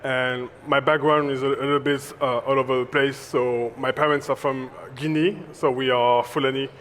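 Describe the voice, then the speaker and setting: A man in his twenties from France introducing himself as a motion designer, halting with many fillers. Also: mid-range voice, level tone, fairly bright tone, slight background noise. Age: 20s. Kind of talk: conference panel introduction. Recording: faint background ambience.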